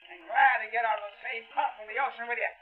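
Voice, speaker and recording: An actor playing a burly, defiant sea captain in a 1940s radio drama, shouting bitter accusations in a declamatory manner. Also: male voice, very high-pitched, fairly even intonation, fast. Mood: defiant contempt. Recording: tinny, distant old radio recording with music.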